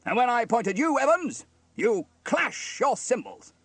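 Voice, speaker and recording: A man's voice: very high voice, sing-song, measured pace, a bit bright, dry recording, pitched above the performer's own voice, full character voice. A man voicing a stern, bossy fire station officer in a children's story, barking orders. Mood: stern, commanding.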